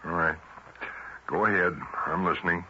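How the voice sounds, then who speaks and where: An actor playing an honest, anxious, flustered husband in an old-time radio drama. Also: male voice, deep voice, steady tone, normal speed, close to an everyday voice. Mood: flustered, reluctant. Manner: earnest, flustered, protesting. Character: law-abiding, anxious husband, male postal worker, stubbornly honest. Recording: muffled, noisy vintage radio broadcast.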